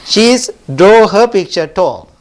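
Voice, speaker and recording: A man's voice: high voice, animated, unhurried. A man from Sri Lanka, a Buddhist monk giving a dharma talk, often asking "right?" and laughing lightly. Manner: warm rhetorical asides, often asks "right?", laughs lightly. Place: temple hall.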